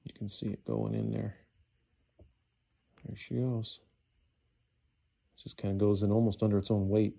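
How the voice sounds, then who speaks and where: Low, gravelly man muttering as he works. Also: flat delivery, moderate pace, long pauses, mellow. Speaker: DIY engine rebuilder giving a how-to. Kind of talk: casual hands-on tutorial, talking while working. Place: indoor garage workshop.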